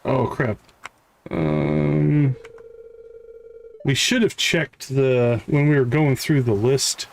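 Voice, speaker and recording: Slow, unhurried man commentating a game. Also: medium pitch, gravelly, a little hiss or hum. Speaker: live streamer, game host. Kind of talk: casual gameplay commentary, thinking aloud and reading game dialogue. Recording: music playing behind the voice. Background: game music.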